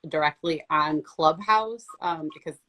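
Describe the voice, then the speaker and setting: A woman hosts a casual interview with a somewhat low voice. She asks friendly, upbeat questions and fills pauses with ums. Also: bright, forceful tone. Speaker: interview host.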